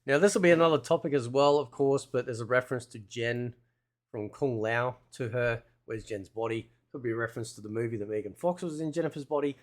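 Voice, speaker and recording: A man's voice: mid-range voice, a little fast. A man giving casual, conversational gameplay commentary in a laid-back, slangy way, like a video game content creator.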